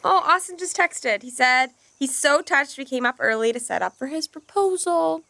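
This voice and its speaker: Here is an excitable, bossy young woman in an audio sitcom. Her voice is high and bright, and it leaps around with big swings of pitch. She sounds sure of herself and keen to take charge. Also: normal speed, creaky, heavily acted. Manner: bossy, excitable, self-assured. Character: Laura, bossy, eager young woman planner. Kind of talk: podcast sitcom acting.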